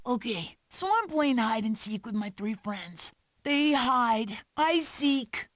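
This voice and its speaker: A woman voicing an earnest, sweet young animal child in an animated prehistoric video game. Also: a little high, slow, no room sound, studio quality. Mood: puzzled.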